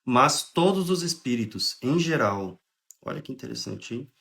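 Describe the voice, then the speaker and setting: Brief: young Brazilian man with a head cold, mid-pitched, casual livestream host who reads aloud and explains. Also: moderate pace, gravelly. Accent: Brazilian Portuguese. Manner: relaxed, chatty, interrupts reading to explain. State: has a cold, clearing throat. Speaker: host of a spiritist study livestream. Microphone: phone or webcam on a livestream.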